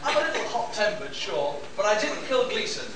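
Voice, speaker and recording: A man's voice: sits high, sing-song, moderate pace. A man playing a hot-tempered, indignant bruiser of a pilot in an amateur radio play. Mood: defensive. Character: hot-tempered big bruiser pilot, defensive, passionate about his flowers. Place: live stage performance at a convention. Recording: distant echoey room, noisy live recording.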